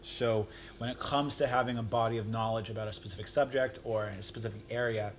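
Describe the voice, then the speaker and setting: A man's voice: fairly low pitch, fairly even intonation, fairly quick, slight background noise. A man who teaches English, a guest on a vlog, talking eagerly and promoting his own channel. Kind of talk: casual chat.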